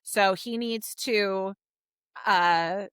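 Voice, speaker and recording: A woman's voice: mid-range voice, drawn-out words, fairly bright tone, smooth, no room sound, studio quality. A woman co-hosting a book podcast, retelling a story in a chatty, informal, emphatic way. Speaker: podcast co-host. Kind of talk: casual book discussion recapping a story.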